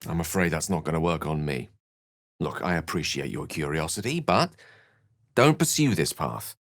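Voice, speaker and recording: An actor playing an eloquent, wry, romantic scholarly wizard in a fantasy video game, speaking in an articulate, theatrical way. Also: male voice, extremely low pitch, expressive, normal speed, dry recording, studio quality, full character voice. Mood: earnest, wry.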